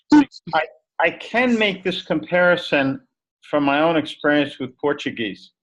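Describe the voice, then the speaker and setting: A man's voice: a little high, animated, measured pace, highs cut off. A man teacher giving a thoughtful, explanatory answer in an online discussion over a video call.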